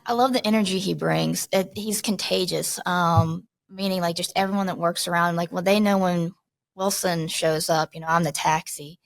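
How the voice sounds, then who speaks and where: A soft-spoken woman talking easily as a podcast guest, her voice a little low and full of vocal fry. She speaks close to the mic in a dry, dead room, relaxed and chatty with plenty of fillers. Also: normal speed, no room sound, poor audio. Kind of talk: casual podcast interview.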